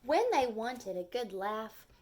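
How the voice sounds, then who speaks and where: Slow, high-voiced woman teaching young children. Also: animated, darkish tone. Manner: clear simple phrasing addressed to small children, with questions. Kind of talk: storytime lesson with read-aloud and simple explanations.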